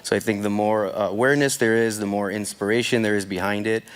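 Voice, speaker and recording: A man from El Salvador speaks on a live panel in an earnest, reflective way. His voice sits a little low, and he talks at an even, conversational pace. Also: fast, clean tone. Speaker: panel guest.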